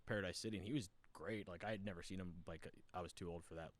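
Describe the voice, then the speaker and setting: A laid-back man in casual podcast conversation, talking loosely with plenty of fillers. His voice sits fairly low. He sounds relaxed and unhurried. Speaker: podcast guest. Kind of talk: casual chat.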